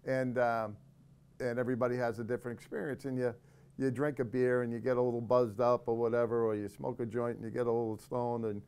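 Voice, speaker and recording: A man's voice: medium pitch, little pitch movement, fast, a little mellow, smooth. A middle-aged man, a corrections officer and podcast guest, explaining things thoughtfully in casual conversation, often restarting his sentences.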